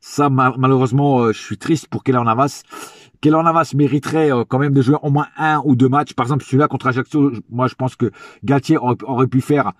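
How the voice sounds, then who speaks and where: Husky, hesitant French football fan. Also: male voice, medium pitch, steady tone, brisk, no echo, clean studio sound. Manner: hesitant, many fillers and restarts, chatty. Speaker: football fan reviewing a match. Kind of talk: casual spoken match review.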